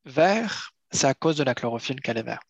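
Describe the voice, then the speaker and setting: A man's voice: medium pitch, animated, a little fast, no echo. A middle-aged man from France answering interview questions, explaining at length and often repeating words as he finds them. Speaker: seaweed harvester and entrepreneur.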